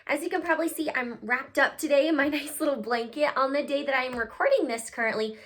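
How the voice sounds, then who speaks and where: A woman reading a picture book aloud to children, expressive and cutesy, talking directly to her young listeners. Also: sits high, fast talker. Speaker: read-aloud host, storyteller for children.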